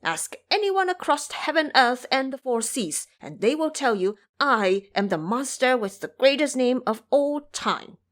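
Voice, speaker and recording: A woman voicing a boastful male monkey king warrior who taunts and threatens his foes loudly. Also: high-pitched, very animated, a little slow, hardly any pauses, voice pushed up, full character voice. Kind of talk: audio drama voice acting.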